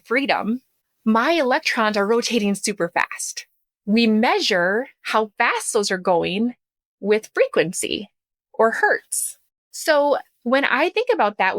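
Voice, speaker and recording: A woman's voice: mid-range voice, a bit bright, dry recording. A woman speaking as a podcast guest and coach, explaining things in an emphatic, conversational way and checking that listeners follow. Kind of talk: animated explanatory talk.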